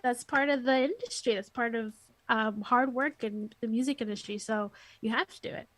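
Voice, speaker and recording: A woman, interview guest and music teacher, with a soft, light, sweet speaking voice, chatting casually with lots of fillers over a call. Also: fairly high pitch, moderate pace, no echo. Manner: rambling, filler-heavy, giggly. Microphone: headphones on a call.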